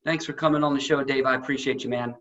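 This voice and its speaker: A man hosting a casual interview, speaking effusively with halting repetitions, on slightly dull lo-fi audio. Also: medium pitch, steady tone, fairly bright tone. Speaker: show host, interviewer.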